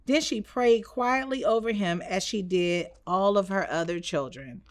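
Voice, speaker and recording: A woman reading a children's storybook aloud in an expressive storytelling style. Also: medium pitch, measured pace, no room sound. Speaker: storybook reader.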